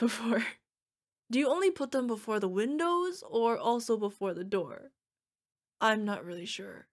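A woman playing an affectionate young orc girlfriend in an ASMR roleplay, emotional and playful as she talks to her partner. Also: on the high side. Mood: excited, playful. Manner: gushing, emotional, playful, talking to an unheard partner. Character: young orc girlfriend, fierce yet cutesy, needy, affectionate.

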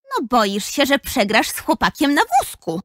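A woman's voice: a little high, big pitch swings, normal speed, no echo, clean studio sound. A female performer playing an earnest, caring, persistent schoolgirl in a children's audio drama. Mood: probing.

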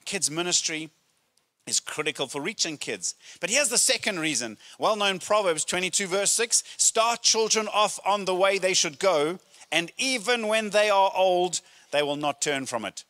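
A middle-aged man, a pastor preaching a sermon with emphatic, deliberate phrasing and pauses, speaking into a handheld microphone. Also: high-pitched, pushed and bright. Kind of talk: sermon to a congregation. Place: church auditorium stage, indoors.

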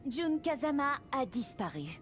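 A poised young aristocrat from a video game. Her high voice is formal, serious and composed. Faint music sits behind it. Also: sing-song, takes it slowly, exaggerated voice. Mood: grave. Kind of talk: video game cutscene acting.

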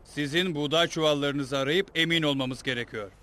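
A man's voice: fairly high pitch, normal speed, bright, forceful tone. A man playing a stern, commanding soldier captain in a cartoon dub, barking orders and accusations. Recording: dramatic music behind the dialogue.

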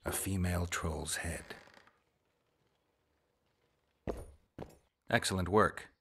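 An actor playing a stoic, curt monster hunter in a video game, with a terse, deadpan delivery. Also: male voice, low voice, normal speed, slight background noise. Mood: dry, inquiring. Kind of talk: video game character acting.

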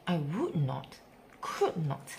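A woman reading a rhyming picture book aloud for young children in an expressive, theatrical, sing-song way with emphatic pauses. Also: low voice, creaky, slight background noise. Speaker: children's story reader.

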